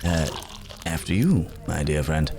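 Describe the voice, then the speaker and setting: A man playing a weary, courteous werewolf traveler in an intimate fantasy ASMR roleplay, speaking in a halting, musing way with soft hums. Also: very deep voice, expressive, vocal fry, music in the background, heavily acted. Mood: courteous, reassuring.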